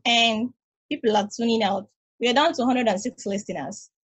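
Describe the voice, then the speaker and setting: A woman as a patient, slightly husky space probe. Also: fairly high pitch, no echo. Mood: dry. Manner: measured, explanatory. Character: patient, knowing older space probe, a mentor. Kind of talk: table read of a stage play. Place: home room, over a group video call. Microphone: video call.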